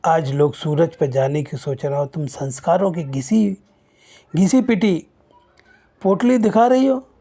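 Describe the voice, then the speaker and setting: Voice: medium pitch, unhurried, mellow. A narrator voicing an angry, progressive father in a storybook tale, indignant and declamatory. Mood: angry rebuke. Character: angry, progressive father rebuking his old-fashioned wife.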